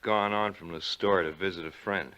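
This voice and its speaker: A man's voice: deep voice, steady tone, moderate pace, bright, forceful tone, clean tone. An actor playing a nervous, menacing man with a guilty secret, stammering and evasive, in 1940s radio suspense style. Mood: nervously coaxing. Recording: dull, band-limited 1940s radio recording.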